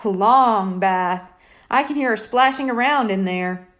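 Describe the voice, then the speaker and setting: A woman's voice: mid-range voice, a little slow, fairly bright tone, lightly acted. A woman voicing a cheeky, eager young twin boy with an exclamatory, childlike delivery in a storybook.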